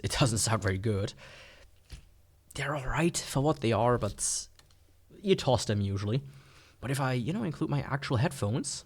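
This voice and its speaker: A man giving a casual, unscripted product review in a rambling, informal, skeptical way. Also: mid-range voice. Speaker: gadget reviewer.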